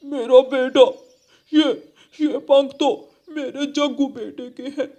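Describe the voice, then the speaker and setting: Cartoon crow dad male performer: extremely high pitch, doting, plaintive, emotional. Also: animated, a little slow, darkish tone, full character voice. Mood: grieving. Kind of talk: children's animal cartoon voice acting.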